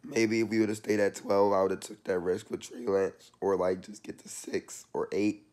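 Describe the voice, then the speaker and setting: A young man talks loosely and casually, his voice hoarse and worn down. His pitch sits a little low, and he speaks close to a laptop webcam in a small room. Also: flat delivery, moderate pace, darkish tone. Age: young adult. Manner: loose and chatty, slangy. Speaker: sports channel vlogger. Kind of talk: casual vlog update.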